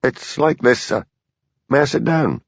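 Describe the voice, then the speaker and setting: A man voicing a nervous, earnest police constable with a Highland Scottish accent, in a dull lo-fi recording. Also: lively intonation, unhurried, gravelly, no room sound. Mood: pleading. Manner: earnest, pleading.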